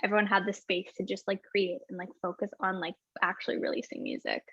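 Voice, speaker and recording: A woman's voice: medium pitch, steady tone, quick pace, a bit bright, husky, no room sound. Young female singer, casual and bubbly, constant "like", lo-fi dry audio over a video call. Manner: bubbly, says "like" constantly, laughs often. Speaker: singer-songwriter guest. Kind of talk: casual interview chat. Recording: dull, dry, lo-fi sound.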